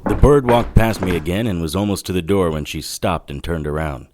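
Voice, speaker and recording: An actor playing a wry, hardboiled 1940s private detective, narrating in dry, clipped noir style. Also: male voice, low-pitched, brisk, very noisy, lightly acted. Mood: watchful narration. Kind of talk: noir first-person scripted narration.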